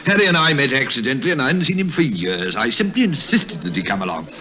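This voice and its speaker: Hearty upper-class old gentleman; garrulous, forceful, very animated delivery, old radio recording. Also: medium pitch, quick pace. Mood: hearty, apologetic. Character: jovial, chatty upper-class old duffer, male friend. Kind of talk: 1950s radio drama acting.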